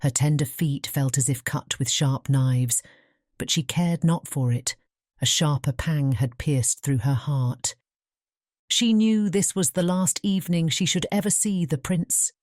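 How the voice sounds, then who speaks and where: A woman's voice: low voice, measured pace, darkish tone, no room sound, studio quality. A woman with a British accent reading a storybook as an audiobook narrator.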